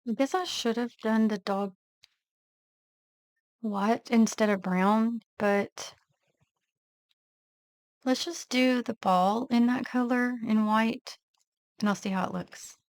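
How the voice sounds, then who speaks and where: A woman vlogger casually narrating her nail art step by step, thinking aloud in a relaxed, unhurried way. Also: fairly high pitch, no room sound, studio quality.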